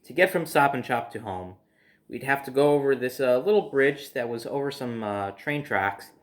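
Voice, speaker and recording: A man's voice: mid-range voice, expressive, moderate pace. An American man telling a personal story casually, in a rambling way, circling back over details.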